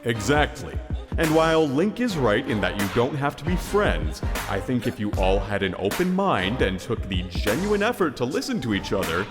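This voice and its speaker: A man voicing an earnest, hesitant, self-doubting giant hand who mentors heroes in an animated comedy. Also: medium pitch, close to an everyday voice. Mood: gentle persuasion. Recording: long reverb, music behind.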